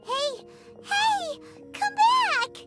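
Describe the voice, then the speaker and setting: A woman voicing a small female fairy companion in a video game, with a high, bouncy, pleading manner. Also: very animated, extremely slow, pushed and bright, heavily acted. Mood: urgent. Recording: game audio with faint music.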